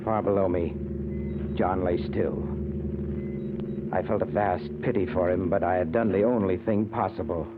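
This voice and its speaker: An actor playing a grandiose, fanatical, coldly murderous uncle in a 1940s radio drama. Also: male voice, deep voice, normal speed, long pauses, natural voice. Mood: calm narrating. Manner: grandiose, insistent, theatrical. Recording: tinny, noisy old radio recording.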